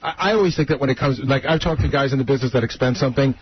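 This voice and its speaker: A man with a husky voice telling anecdotes in casual banter on a radio show. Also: mid-range voice, racing, a little hiss or hum. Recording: dull band-limited radio recording.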